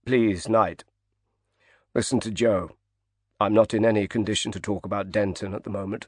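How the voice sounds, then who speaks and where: A man's voice: lowish voice, steady tone, moderate pace, no room sound, lightly acted. A heavy older financier speaks in a choked, grieving voice, as a male narrator puts him on, and he flares into proud indignation when provoked. The audiobook read is dry and close to the mic. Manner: choked grief turning to indignation.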